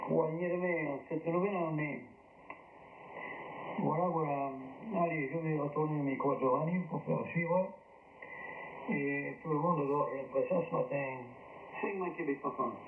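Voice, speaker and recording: A man's voice: a little high, fairly even intonation, measured pace, long pauses. An older retired man, an amateur radio operator, chatting in a jokey, rambling way over a shortwave link. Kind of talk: casual radio contact chat. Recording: hissy, roomy narrowband shortwave radio.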